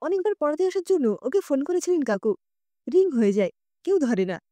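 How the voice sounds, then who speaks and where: High, expressive female storyteller. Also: a little fast, no echo. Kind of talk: expressive scripted audio story narration.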